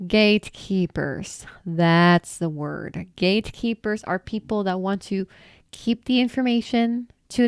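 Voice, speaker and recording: A woman's voice: mid-range voice, a bit bright, smooth, dry recording, clean studio sound. A woman hosting her own channel, reading viewer comments aloud and reacting with laughs, exclamations and mild swearing. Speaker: channel host answering viewer questions.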